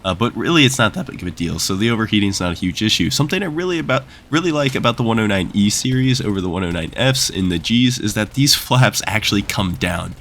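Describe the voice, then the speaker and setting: A man's voice: on the low side, lively intonation, fast, few pauses, a bit bright, slight background noise. A young man with a nasal, congested voice from a cold, giving casual gameplay commentary that explains things and wanders into asides. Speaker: gaming YouTuber.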